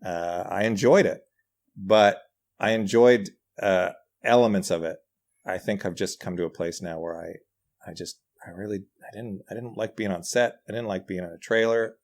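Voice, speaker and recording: Low-voiced middle-aged man. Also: normal speed. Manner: self-deprecating, hesitant. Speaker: podcast guest, writer and comedian. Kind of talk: casual conversational anecdotes.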